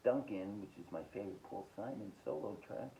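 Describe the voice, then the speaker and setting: Low gravelly man, casual reviewer. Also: warm tone, slight background noise, poor audio. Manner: halting, listing titles with blunt short verdicts. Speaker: host of a music collecting podcast. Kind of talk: casual rambling record collection review.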